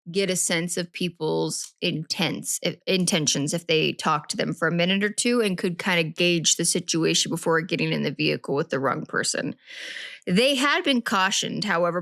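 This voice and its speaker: A woman's voice: lowish voice, fairly bright tone, no room sound. Chatty woman podcast co-host, dry sound. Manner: chatty, rambling, self-correcting. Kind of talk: casual true-crime podcast chat.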